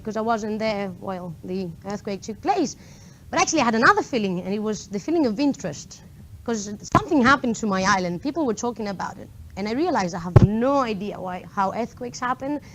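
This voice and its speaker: A woman's voice: on the high side, a little hiss or hum, rough recording. A young woman from Greece with a Greek accent, giving a chatty talk to a meetup audience, full of fillers and asides. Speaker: conference speaker. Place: lecture room before an audience.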